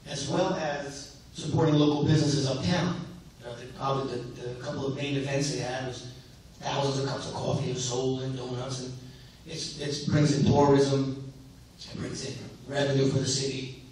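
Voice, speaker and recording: A man's voice: medium pitch, flat delivery, normal speed, darkish tone. A man who is losing his voice, answering as a candidate in a debate. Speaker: mayoral candidate. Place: synagogue hall. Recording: echoey hall from far off, some noise.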